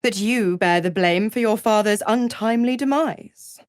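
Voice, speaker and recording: An actress voicing a seductive, gloating devil patron in a video game, with a silky, condescending, theatrical manner of cruel teasing. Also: female voice, medium pitch, moderate pace, clear, resonant tone, dry recording, studio quality, close to an everyday voice. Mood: smug. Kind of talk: video game character voice acting.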